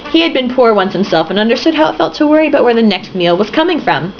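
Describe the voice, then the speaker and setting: A woman's voice: on the high side, animated, quick pace. A young woman reading a novel aloud, tipsy, giggly and sarcastic, breaking off for swearing asides. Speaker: reader and commentator. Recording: dull lo-fi home recording with faint hiss.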